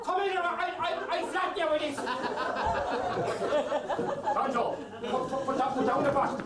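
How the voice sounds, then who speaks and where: Male amateur as stubborn comic bully, very high voice, stammering insistence, heavily echoing hall with loud crowd. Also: moderate pace, heavy background noise. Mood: insistent, agitated. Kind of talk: amateur stage comedy. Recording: very echoey crowded hall, distant camcorder.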